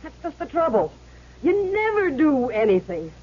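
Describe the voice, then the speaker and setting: An actress playing a fed-up, sharp-tongued woman who works as a fake medium in a vintage radio drama. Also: high voice, very animated, moderate pace. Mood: fed up, nagging. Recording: muffled noisy old radio recording.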